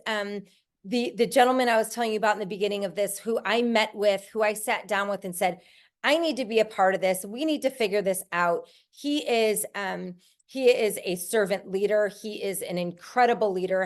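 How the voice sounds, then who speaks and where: A woman leading a team, giving an upbeat, enthusiastic, chatty presentation on a video call. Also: mid-range voice.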